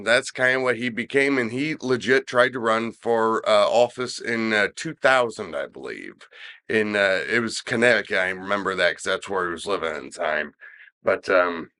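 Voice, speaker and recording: A man's voice: fairly low pitch, moderate pace, fairly bright tone. A middle-aged man with a stuffy, slightly nasal voice, rambling through a casual podcast chat with many restarts. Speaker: podcast co-host discussing wrestling.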